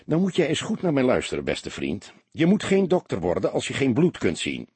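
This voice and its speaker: A man voicing a seasoned, laconic police inspector who lectures his young partner calmly and a little gruffly, with a husky voice. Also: mid-range voice, a little fast, no echo, pitched above the performer's own voice, exaggerated voice. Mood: stern.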